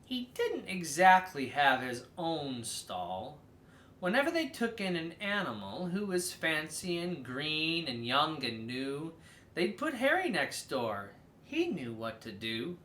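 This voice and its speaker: Slow, very animated storybook reader, roomy sound. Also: medium pitch, a little hiss or hum. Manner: theatrical, slow and drawn-out with emphatic stresses. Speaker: read-aloud host. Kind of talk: expressive children's storybook read-aloud.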